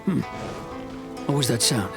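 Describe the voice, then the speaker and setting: An actor playing a self-satisfied, shady tax collector in a video game, musing smugly to himself. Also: male voice, medium pitch, animated, measured pace, close to an everyday voice. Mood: wary. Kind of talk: acted video game dialogue. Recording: game music behind the voice.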